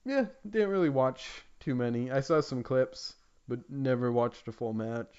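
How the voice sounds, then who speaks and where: A man with a clear, smooth, medium-pitched voice co-hosts a casual gaming podcast. He talks informally and swears often, on a slightly dull, lo-fi recording. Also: moderate pace, mellow. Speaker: podcast co-host. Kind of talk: casual chat about video games.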